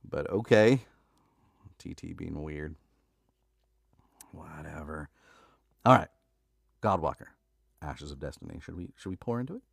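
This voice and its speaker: A man's voice: low-pitched, vocal fry, no room sound, clean studio sound. A man with a stuffy nose from allergies who hosts a livestream, chatting casually and then reading a novel aloud. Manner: casual and chatty, laughs often, corrects his own misreadings. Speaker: audiobook narrator and livestream host.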